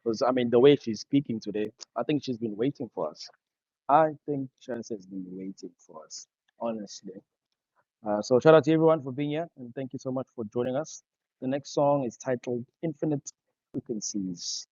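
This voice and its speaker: A man hosting a live online music show, upbeat, giving shout-outs and introducing the next songs. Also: mid-range voice, normal speed, dry recording, highs cut off. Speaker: show host.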